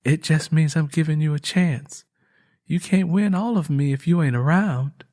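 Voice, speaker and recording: A man's voice: fairly high pitch, moderate pace, warm tone, natural voice. A man voicing an earnest, guarded young country woman with a cautious, pleading manner in an audiobook. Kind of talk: dramatised audiobook dialogue.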